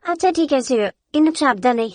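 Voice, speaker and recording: A woman's voice: high-pitched, fairly quick, lightly acted. A woman playing a protective, cautious village mother in a children's cartoon dub.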